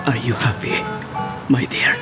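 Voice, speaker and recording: A man's voice: takes it slowly, close to an everyday voice. A man playing an ardent, sweet-talking young lover who woos and pleads in a radio romance drama. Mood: tender, devoted. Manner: wooing, pleading. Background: music.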